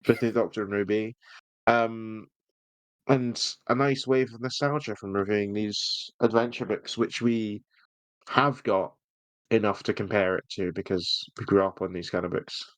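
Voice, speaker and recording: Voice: medium pitch, fairly even intonation, normal speed, dry recording, low-quality recording. Jokey podcast host just chatting about reviews, playful, really dry sound. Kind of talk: casual chat review.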